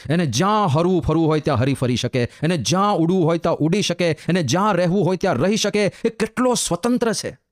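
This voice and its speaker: A man's voice: on the high side, animated, quick pace, hardly any pauses, no room sound, clean studio sound. A man with a Gujarati accent, a monk telling a moral fable in a sermon, with repetition, who acts out the birds' lines himself. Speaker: monk giving a discourse. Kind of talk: sermon storytelling.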